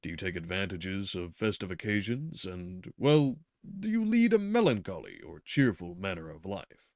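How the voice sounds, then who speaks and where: A man's voice: sits low, sing-song, moderate pace, no echo, studio quality. A man voicing a stern middle-aged doctor who lectures insistently and stresses his words. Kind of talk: reading a novel aloud.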